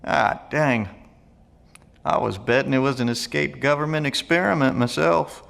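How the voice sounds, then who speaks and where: An actor playing a blunt, friendly survivalist in an audio drama, with a folksy, gravelly drawl. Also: male voice, medium pitch, moderate pace, fairly bright tone. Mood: blunt, curious. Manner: folksy, colloquial, plain-spoken. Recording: long echoey reverb of an empty hall.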